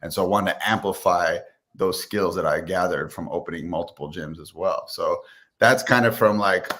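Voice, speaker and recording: Deep-voiced man, upbeat podcast guest. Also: moderate pace, sharp tone. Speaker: podcast guest, fitness entrepreneur. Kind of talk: conversational personal storytelling.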